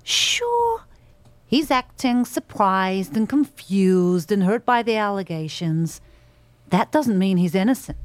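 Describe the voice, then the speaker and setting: A woman voicing an angry feminist female reindeer with an American accent, in a deliberate, emphatic stage monologue. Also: mid-range voice, no room sound. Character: angry feminist female reindeer making accusations about Santa.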